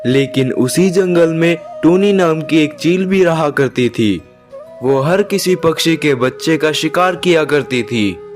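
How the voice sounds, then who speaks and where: A man reading scripted narration as the storyteller of a children's moral story. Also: fairly high pitch.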